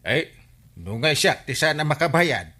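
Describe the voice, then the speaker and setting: Bright, highish Ilocano village captain. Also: male voice, big pitch swings, unhurried, exaggerated voice. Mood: puzzled. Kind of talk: Ilocano radio comedy-drama acting.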